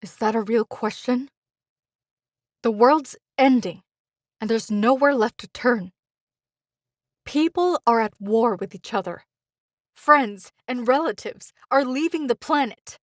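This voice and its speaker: A woman's voice: fairly high pitch, takes it slowly, long pauses, dry recording, studio quality. A woman acting as a scared, bitter helpline caller in an audio drama, telling things in clipped, sardonic fragments. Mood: scared, incredulous.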